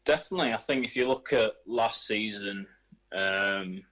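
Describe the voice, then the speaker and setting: A man's voice: on the low side, normal speed, pushed and bright. A young man answering casual sports interview questions as a footballer. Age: young adult.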